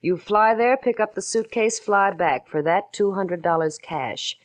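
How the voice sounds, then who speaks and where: A woman playing a brisk blonde client in her twenties. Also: medium pitch, no echo, lightly acted. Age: 20s. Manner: clipped, rapid-fire instructions. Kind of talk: 1940s radio acting.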